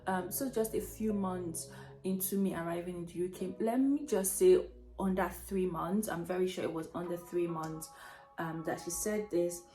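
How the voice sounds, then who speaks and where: A woman's voice: lowish voice, normal speed, mellow. A young woman with a Nigerian accent, chatting casually through a personal story, self-mocking and laughing often. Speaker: vlogger telling a story. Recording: echoey room, faint music behind.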